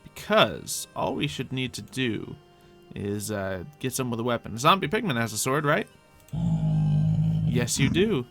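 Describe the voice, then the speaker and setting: A man with a medium-pitched voice hosts an improvised video game roleplay. He chats casually to himself, thinking aloud as he plays. Soft music runs behind his voice. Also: lively intonation, measured pace. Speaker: gaming video host playing in character.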